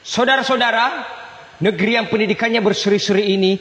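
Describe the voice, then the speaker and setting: An actor playing a pompous, scheming fake university rector in a satirical stage monologue, declaiming grandly with drawn-out words and sudden swings. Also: male voice, high-pitched, fairly bright tone, muffled recording. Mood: smug. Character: pompous scheming fake-degree university rector, self-styled saviour god. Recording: echoey hall, reverberant stage sound.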